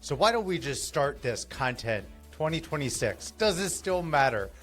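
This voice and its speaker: A man's voice: a little high, sing-song, moderate pace, a bit bright, faint music behind. A man hosting a podcast, asking earnest, loosely phrased questions in a casual interview. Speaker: podcast host.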